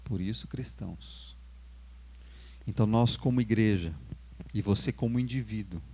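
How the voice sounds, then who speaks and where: Brazilian pastor preaching with rising emphasis. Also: male voice, on the low side, normal speed, warm tone. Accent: Brazilian Portuguese. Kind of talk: sermon.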